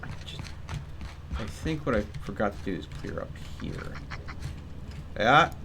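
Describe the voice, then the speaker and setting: A man's voice: on the low side, animated, unhurried, background noise. A man giving casual live commentary as he streams a game, musing to himself as he goes.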